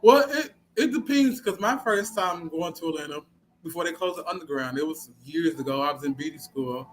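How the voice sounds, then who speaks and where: A high-pitched male voice in a casual interview. He talks informally as a guest, circling back over phrases to stress his point. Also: a little fast, a little hiss or hum, rough recording.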